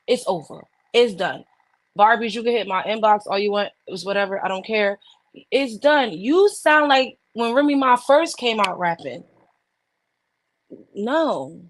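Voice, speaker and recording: A woman's voice: mid-range voice, no room sound. A woman co-hosting a casual podcast, blunt and fired up, swearing as she argues her point. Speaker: podcast co-host. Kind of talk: heated casual chat.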